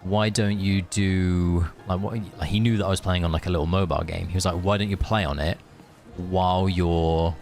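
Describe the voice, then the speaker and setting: A man's voice: deep voice, steady tone, normal speed, darkish tone. A man streaming a game, chatting casually and rambling in friendly asides to his viewers. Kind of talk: casual live gaming stream chat. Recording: music behind the voice.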